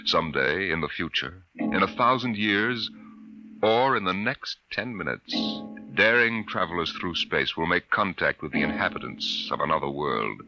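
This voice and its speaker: An actor as a grave, portentous announcer narrating a 1950s science fiction radio drama. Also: male voice, low-pitched, animated, moderate pace, dull audio. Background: dramatic music.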